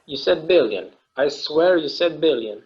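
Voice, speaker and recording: A man acting a weary, wistful game obsessive in a casual, halting conversational style at a table read. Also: fairly high pitch, lively intonation, darkish tone, muffled recording. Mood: curious, casual.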